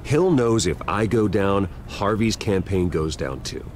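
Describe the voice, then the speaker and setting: A man's voice: low voice, expressive, a little slow, slight background noise. An American actor playing a wealthy, guarded businessman with a dry, sardonic manner in a video game. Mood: tense, guarded. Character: wealthy, guarded businessman defending his family's name. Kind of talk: dramatic game dialogue.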